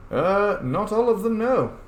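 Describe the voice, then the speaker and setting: A man's voice: high voice, very animated, a little slow. A man doing casual, in-character commentary as he plays a role-playing video game. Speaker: gamer playing a role-play series. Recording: roomy echo, faint background noise.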